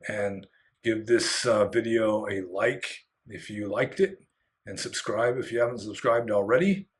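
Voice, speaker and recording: A Buddhist monk, a man who is slightly hoarse, answering viewer questions in an unscripted solo talk, rambling with many fillers and restarts and giving candid opinions. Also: on the low side, measured pace. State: getting hoarse.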